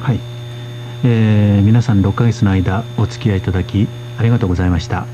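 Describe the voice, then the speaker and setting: A man hosting a radio language course, speaking in a polite, steady way as he interprets for his guests. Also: lowish voice, very fast, highs cut off, background noise. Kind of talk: radio lesson presenting.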